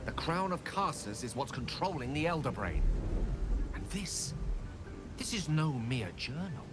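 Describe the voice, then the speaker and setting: An actor playing an ambitious, earnest wizard scholar in a video game, eloquent, persuasive and grandiose. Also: male voice, fairly high pitch, very animated, unhurried, music in the background. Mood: awed.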